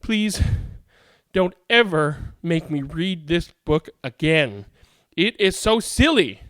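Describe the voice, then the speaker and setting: Male actor as flustered, overdramatic reader in a comic kids' storybook, high and incredulous, swooping intonation. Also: big pitch swings, takes it slowly, fairly bright tone, no room sound, studio quality, heavily acted. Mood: pleading. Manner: whiny, incredulous, pleading, overdramatic. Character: exasperated grown-up reader forced to say silly things. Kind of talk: comic children's storybook acting.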